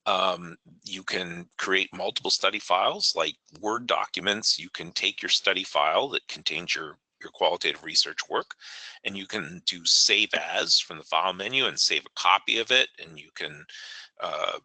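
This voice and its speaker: Hoarse man with a deep, dry voice, talking haltingly through a software tutorial. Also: no room sound, rough recording. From New England, United States. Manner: halting, many fillers, clears throat. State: hoarse, gravelly voice. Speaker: software trainer hosting a webinar. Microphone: online meeting audio.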